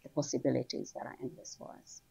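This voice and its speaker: A middle-aged woman from Somaliland, raised in Kenya and England, telling her story as an author guest in an animated, conversational way. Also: quick pace. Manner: animated storytelling, rises high when excited. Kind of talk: conversational interview. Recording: dull lo-fi sound.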